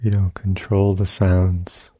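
A man leading a guided meditation as a teacher, speaking gently in short phrases with long, unhurried pauses. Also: low-pitched, completely flat delivery, husky, dry recording. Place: retreat center meditation session.

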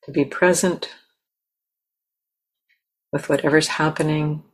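A woman leading a guided meditation as a teacher, speaking gently and unhurriedly with long pauses. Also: low-pitched, steady tone.

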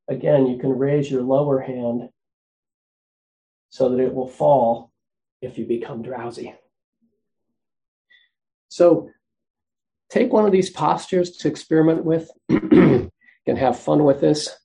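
A soft-spoken man teaching meditation, giving gentle, unhurried instructions with frequent pauses. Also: a little high, creaky, rough recording.

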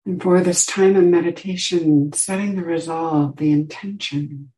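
A woman teaching a guided meditation, giving gentle instructions with long pauses between short phrases. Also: lowish voice, steady tone, low-quality recording.

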